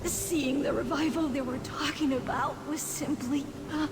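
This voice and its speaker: A woman playing a shaken, weary female soldier in a video game cutscene, speaking haltingly. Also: high voice, steady tone. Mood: shaken, troubled. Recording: long reverb with clear background noise.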